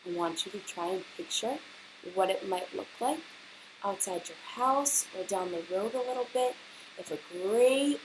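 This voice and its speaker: A woman reads aloud to a class of children at an unhurried, slow pace. She explains and prompts like a teacher, over a faint bed of hiss. Her pitch sits in an ordinary female range. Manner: instructional, pausing to prompt young listeners. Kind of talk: picture-book read-aloud with questions to children.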